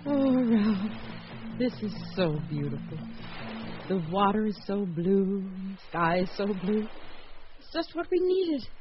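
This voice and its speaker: Anxious wife, muffled recording. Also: female voice, medium pitch, sing-song, slow pace, over music. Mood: dreamy. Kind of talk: radio drama acting. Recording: muffled radio recording with faint noise.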